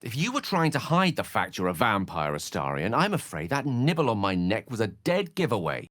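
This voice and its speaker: Wry bookish wizard from a video game, low voice, lots of swoop, super dry close recording. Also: male voice, wildly swooping pitch, fairly quick, fairly bright tone, no echo. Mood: reflective, eloquent. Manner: theatrical, erudite, wry phrasing. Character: eloquent, wry, bookish male wizard companion. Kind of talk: video game character voice acting.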